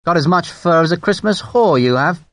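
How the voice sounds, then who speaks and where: A man's voice: on the high side, animated, normal speed, a bit bright, no echo, pitched above the performer's own voice. A man voicing a curt, blunt British rifle captain in his thirties.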